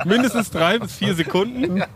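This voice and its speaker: A man's voice: sits high, normal speed, a bit bright, a little hiss or hum. A man co-hosting a podcast in casual chat, laughing easily and dropping dry jokey remarks. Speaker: podcast co-host.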